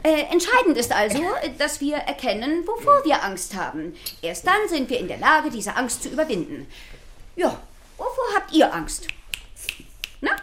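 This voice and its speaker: An actress playing a flustered, nerdy expert lecturing children in stilted technical language in a radio play. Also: female voice, sits high, huge pitch swings, moderate pace, a bit bright, heavily acted. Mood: eager lecturing. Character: flustered, nerdy woman expert teaching children about fear.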